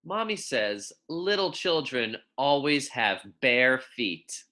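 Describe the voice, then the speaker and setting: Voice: medium pitch, big pitch swings, takes it slowly, pushed and bright. A teacher giving a read-aloud lesson for young children in a friendly, informal, encouraging way. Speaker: elementary school teacher.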